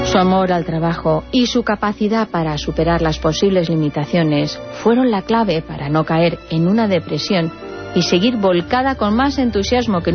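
Spanish woman reading radio feature script, lowish voice, bit muffled, music behind. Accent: Spain. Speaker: radio segment presenter. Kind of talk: scripted biographical narration. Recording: dull radio audio over music. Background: clear background music.

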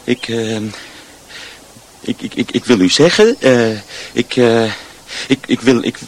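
Nervous stammering householder for radio drama, halting and slow, faint ambience behind. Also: male voice, mid-range voice, lively intonation, a little hiss or hum. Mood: flustered, agitated. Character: nervous, tongue-tied, resentful householder.

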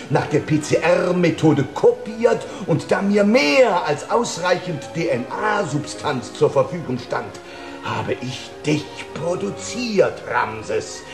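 An actor playing a vain, fanatical mad doctor in a German radio play, theatrical, imperious and given to haranguing. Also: male voice, high-pitched, full character voice. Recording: roomy echo, faint music behind.

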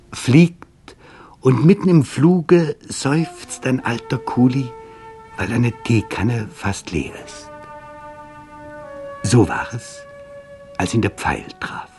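A man reading literary narration for radio, measured and deliberate, pausing for effect. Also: fairly low pitch, darkish tone.

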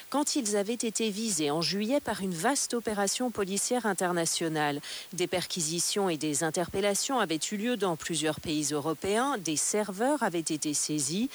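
A woman reporter reading a scripted radio news report. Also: on the low side. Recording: hissy FM radio recording.

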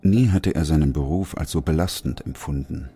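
A man acting a sober, measured doctor and narrator in a literary radio drama, calm, terse and matter-of-fact. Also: a bass voice, husky. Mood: grave narrating. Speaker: narrator and lead actor. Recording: music behind the voice.

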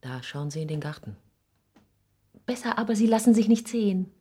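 An actress playing a proud, wounded middle-aged mother in a 1960s German radio play, husky and dignified, with theatrical emphasis. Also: medium pitch, expressive, normal speed, warm tone. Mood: icy, defiant.

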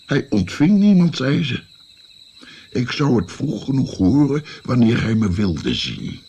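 An actor playing a wry old grandfather recounting his past in a radio drama, with a gravelly voice. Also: medium pitch, sing-song, a little slow, warm tone, slight background noise. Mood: wry, reminiscing.

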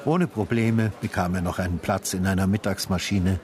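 An actor playing a brooding, sardonic retired homicide detective, narrating a literary radio drama with deliberate, inner-monologue delivery. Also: male voice, steady tone, darkish tone, close to an everyday voice. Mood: sober. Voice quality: husky, low.

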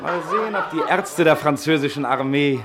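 An actor playing a practical, jaunty young military doctor, brisk and teasing, in an old radio play. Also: male voice, on the high side, over a noisy crowd, natural voice. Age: young adult. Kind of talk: 1960s radio play acting. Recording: old radio drama, crowded tavern ambience.

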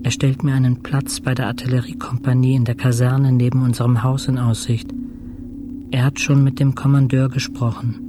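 An actor playing a bedridden, introspective intensive-care patient in a radio drama monologue, speaking in measured, fragmentary short phrases. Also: male voice, medium pitch, little pitch movement, over music, lightly acted. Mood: sober.

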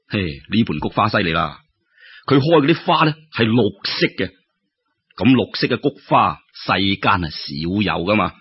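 A man with a Cantonese accent telling a story in an expressive, colloquial way, with exclamations and rhetorical questions. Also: mid-range voice, husky. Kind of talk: animated traditional Cantonese storytelling narration. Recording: dull lo-fi audio.

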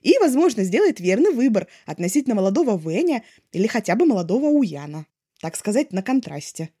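A woman reading scripted fiction as an expressive audiobook narrator. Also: mid-range voice, fairly quick, slightly rough. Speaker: podfic narrator.

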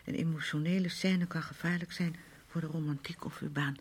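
A fragile, childlike, stubborn wife. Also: female voice, low voice, fairly even intonation, brisk, slightly rough. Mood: absorbed, murmuring. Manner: childishly insistent, wandering, pleading. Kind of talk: Dutch radio play acting.

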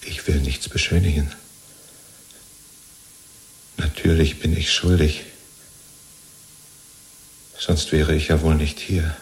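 An actor in a 1970s German radio play, playing a chatty, servile jailed party loyalist who rambles and justifies himself. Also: male voice, extremely low pitch, flat delivery, slow, creaky, long pauses, heavily acted. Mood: eager, contrite. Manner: rambling, ingratiating, chummy, seeking reassurance.

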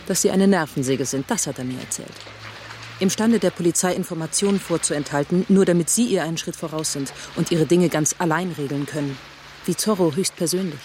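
A man plays a tough investigator in their thirties in a radio crime drama. The voice is fairly high and very quick, sharp and sarcastic, pressing like an interrogation. Noisy ambience surrounds it. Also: lightly acted. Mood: scornful, irritated. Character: tough police investigator in thirties, confrontational and driven.

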